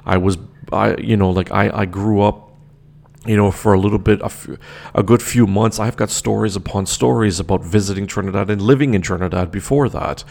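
A man's voice: on the low side, fairly quick, vocal fry, darkish tone. A man from Canada hosting his own show, talking emphatically with many fillers and restarts into a desk microphone. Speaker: podcast host. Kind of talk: opinionated solo commentary.

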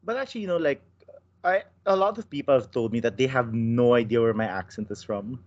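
A man's voice: medium pitch, a little fast. A man from the Philippines chatting casually, teasing his co-host and cutting in with questions. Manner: teasing, animated, interrupts with questions. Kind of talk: casual chat. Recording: dull lo-fi sound.

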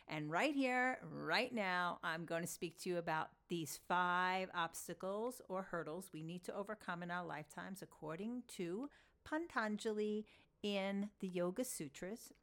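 A woman with a Long Island New York accent hosting an informal solo talk as a teacher, emphatic and repeating phrases for stress. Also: mid-range voice. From Long Island, New York, United States. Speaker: podcast host and yoga philosophy teacher. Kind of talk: informal solo spoken lecture.

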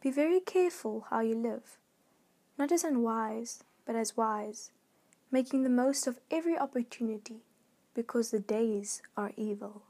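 A woman reading a scripted devotional in a measured, earnest way. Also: fairly high pitch, mellow. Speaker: devotional reader.

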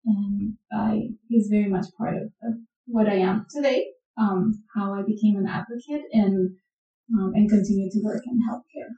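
A woman from Colombia, a health educator, answering interview questions in a measured, thoughtful way with many pauses. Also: mid-range voice, fairly even intonation, vocal fry, mellow. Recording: dull, echoey, distant sound.

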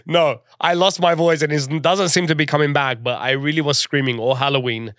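A man co-hosting a casual podcast, hoarse and congested from losing his voice, with sassy, playful banter. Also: a little high, steady tone, fairly quick, a bit bright, dry recording, studio quality. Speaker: podcast co-host at a club. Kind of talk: casual chat.

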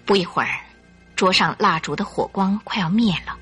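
A woman's voice: medium pitch, moderate pace, a bit bright, faint music behind. A woman narrating a radio drama in a theatrical, suspenseful storytelling style. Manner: theatrical, suspenseful, clearly articulated.

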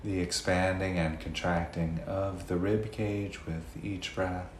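A man leading a guided meditation in a gentle, unhurried way, leaving long pauses between phrases. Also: low voice, level tone. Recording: roomy echo, faint background noise.

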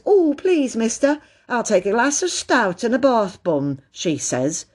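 A woman's voice: on the high side, pitched above the performer's own voice. A woman voicing a chatty male railway porter in a children's storybook, explaining things in colloquial working-class phrases.

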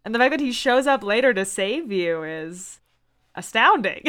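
A woman's voice: a little high, big pitch swings, moderate pace, fairly bright tone. A woman on a podcast casually retelling scenes from a book in a wry, blunt way. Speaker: podcast panelist.

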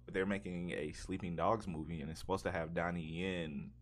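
A man with a very deep voice hosts a casual gaming-style podcast. He speaks informally, like a streamer chatting to regulars, and drops slang into his sentences. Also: steady tone, a little fast.

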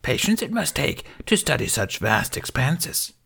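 A man voicing a tiny, ancient, serene alien master who speaks in inverted, riddling sentences with a high, half-whispered voice. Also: very animated, a little slow, creaky, breathy edge, exaggerated voice. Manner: inverted word order, gentle and riddling. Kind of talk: audiobook character voice.

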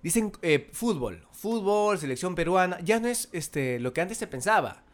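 Peruvian man, casual co-host, higher than usual pitch, animated, stumbles and restarts. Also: normal speed. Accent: Peruvian Spanish. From Peru. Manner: animated, irritated, repeats words and restarts. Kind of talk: casual chat.

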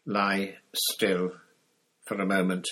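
A man voicing a calm old wizard headmaster who gives firm, clipped orders in a storybook reading. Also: low voice, slow pace, heavily acted, rough recording. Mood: calm, commanding.

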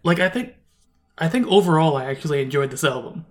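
A man's voice: on the high side, animated, fast. A man co-hosting a casual album review podcast, talking in a rambling way with many restarts and fillers. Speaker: podcast co-host.

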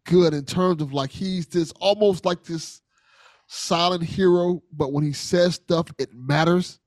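A man with a slightly high voice hosts a casual podcast conversation. He speaks in an earnest, appreciative way and repeats himself for emphasis. The recording is dry and free of room sound. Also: a little slow, a little mellow, dry recording. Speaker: podcast host.

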